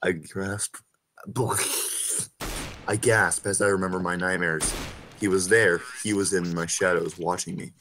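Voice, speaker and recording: A man's voice: low-pitched, fairly even intonation, measured pace, pauses often, noisy background. A man reading a story aloud informally, stumbling now and then over the text and speaking in a casual, amateur way. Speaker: reader of fan fiction over gameplay.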